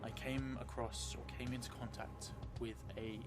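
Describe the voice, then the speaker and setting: Middle-aged male game streamer, measured first-person storytelling, thoughtful hesitations, mid-range voice, music clearly underneath. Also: mid-range voice, little pitch movement. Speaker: solo role-playing game streamer. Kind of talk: improvised first-person tabletop game narration. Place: small indoor room, seated at a desk. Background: background music.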